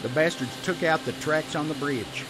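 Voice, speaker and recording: An actor playing an anxious, agitated railroad worker in a western video game. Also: male voice, on the high side, normal speed, background noise, lightly acted. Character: nervous working railroad man, male, anxious and pleading. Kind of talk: dramatic video game acting.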